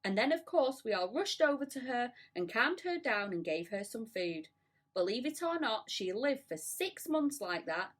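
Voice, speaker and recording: A woman's voice: medium pitch, fairly bright tone. A woman voicing a melodramatic female spider in a storybook, mournful and emphatic as she recalls sad memories.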